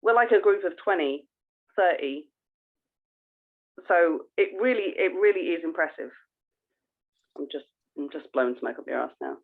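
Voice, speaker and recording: A woman's voice: sits low, expressive, normal speed, gravelly, long pauses. Woman podcaster on a thin phone-like line. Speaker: podcast co-host. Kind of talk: casual podcast chat. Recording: thin phone-like call audio.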